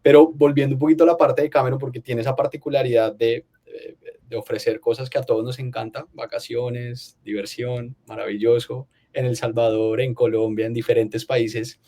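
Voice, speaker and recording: A man's voice: medium pitch, steady tone, moderate pace, dark tone. A man moderating a webinar panel, friendly and conversational, reading out audience questions. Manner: friendly, conversational, playful asides.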